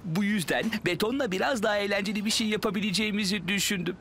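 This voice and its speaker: A man voicing an eager, excitable young talking digger in a children's cartoon dub. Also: sits high, quick pace, slight background noise. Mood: excited. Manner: exclamatory, enthusiastic. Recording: loud music behind.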